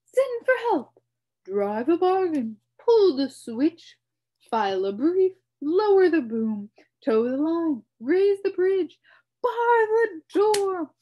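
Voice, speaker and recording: Pompous fussy bug guy put on by a woman, big swoopy high voice, talks slow and grand. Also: sing-song, dull audio, full character voice. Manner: grandiose, blustering, theatrical. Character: pompous wordy male humbug bug.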